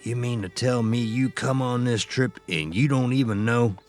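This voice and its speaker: An actor playing a cynical, foul-mouthed outlaw with rough frontier speech in a western audio horror drama. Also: male voice, mid-range voice, moderate pace, a little mellow, faint music behind, close to an everyday voice. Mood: grim, cynical.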